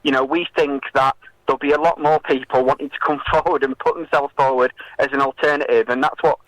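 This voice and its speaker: A British man with a mid-pitched voice, recorded dry and close in a radio interview. He argues his case as a campaigner, hesitating often and filling his pauses as he explains. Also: fairly even intonation, a bit bright, dry recording, rough recording. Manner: halting, hesitant delivery with many filled pauses. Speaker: political campaigner and interview guest.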